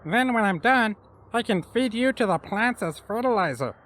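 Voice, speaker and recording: A woman's voice: on the high side, animated, normal speed, a bit bright. A woman voicing a gleefully sadistic killer clown in a theatrical, taunting horror monologue.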